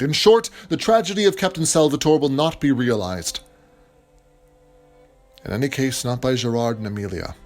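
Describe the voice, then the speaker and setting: A man's voice: medium pitch, natural voice. Guy playing unbothered poet in a period play, mid voice, eloquent, faint music behind. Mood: musing. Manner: eloquent, composed. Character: self-assured, unafraid gentleman playwright. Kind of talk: theatrical period audio drama acting.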